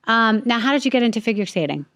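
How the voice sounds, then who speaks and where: A woman's voice: fairly high pitch, animated, quick pace, fairly bright tone. A woman hosting a podcast, asking curious questions and answering with short "right" and "wow". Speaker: podcast host. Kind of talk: interview questions.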